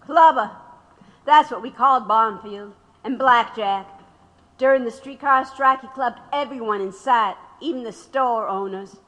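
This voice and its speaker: Defiant, sharp-voiced woman activist, reverberant. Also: on the high side, slight background noise. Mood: bitter, firm. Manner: sardonic, firm, deliberate. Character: defiant, wry woman activist under interrogation. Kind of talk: audio drama acting. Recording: long echoing room reverb.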